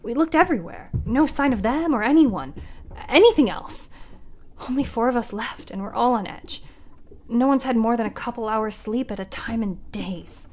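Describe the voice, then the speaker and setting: A woman's voice: a little high, animated, normal speed, darkish tone. An actress playing a female ship captain recording her last log entries, frightened and dropping into whispers. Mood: weary. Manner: frightened, breaking into whispers and cries. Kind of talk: audio drama acting.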